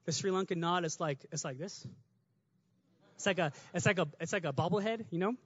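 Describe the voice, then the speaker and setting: A male preacher with a high-pitched voice, talking quickly in an easy, conversational way. He builds in volume as his points land. The sound is dry and slightly muffled. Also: no room sound. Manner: conversational, fast, builds to shouting. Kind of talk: sermon.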